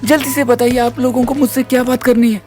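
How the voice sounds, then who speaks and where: A man's voice: very high voice, level tone, quick pace, darkish tone, soft background music. An actor playing a timid, troubled young male college student in an audio drama, earnest and pleading. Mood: wary. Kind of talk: Hindi audio drama acting.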